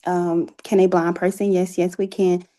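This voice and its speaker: A woman's voice: fairly low pitch, little pitch movement, normal speed, warm tone. A woman speaking as an interview guest, telling her personal story in an earnest, reflective way. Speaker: interview guest, author and playwright.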